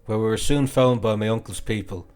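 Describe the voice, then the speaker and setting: A man reading a classic novel as an audiobook narrator, in an expressive, dramatic storytelling way. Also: lowish voice, a little fast, clean tone, soft background music.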